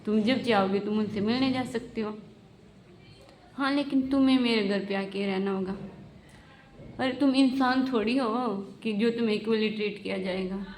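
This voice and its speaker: A woman's voice: medium pitch, normal speed, a little mellow, a little hiss or hum. Woman reciting spoken-word poetry, roomy echo. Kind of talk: spoken-word poetry recital, satirical first-person monologue. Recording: roomy, echoing voice recording.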